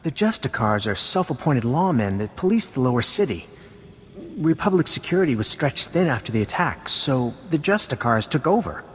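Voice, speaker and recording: A man's voice: medium pitch, moderate pace, in a large hall, a little hiss or hum, natural voice. An actor playing an earnest, concerned male Jedi master who gives advice in a video game. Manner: earnest, expressive.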